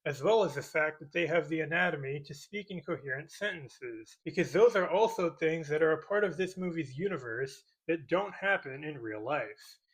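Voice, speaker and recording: A man's voice: a little high, lively intonation, normal speed, few pauses, a bit bright, breathy edge, poor audio. A man delivering a scripted comedic rebuttal in a sarcastic, mock-serious way, as a YouTube commentator. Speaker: YouTube commentator parodying movie critique videos.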